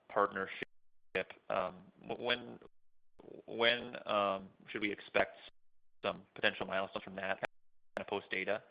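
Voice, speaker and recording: A man's voice: sits low, vocal fry. A man asking questions as an analyst on a conference call, phrasing them casually and tentatively. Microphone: conference call line. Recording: dull, lo-fi phone line.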